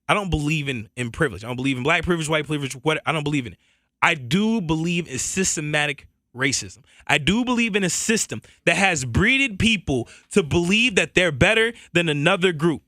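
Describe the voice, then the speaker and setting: A man's voice: on the high side, animated, quick pace, bright, forceful tone, no echo. A man from the Southern US, co-hosting a radio show and speaking heatedly and emphatically in a studio. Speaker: radio co-host. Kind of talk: impassioned radio talk. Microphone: studio boom mic.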